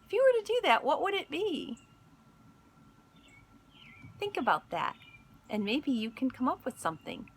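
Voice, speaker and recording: A woman reading a picture book aloud in an expressive storytelling manner, pausing to speak to young listeners. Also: high-pitched, slight background noise. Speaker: school instructional coach reading aloud.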